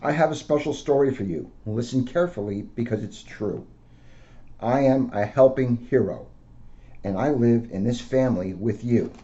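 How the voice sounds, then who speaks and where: Mellow-voiced man, dull lo-fi recording. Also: mid-range voice, normal speed, slight background noise. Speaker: police officer reading a picture book to children. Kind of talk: read-aloud storytelling with casual asides. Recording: dull lo-fi sound.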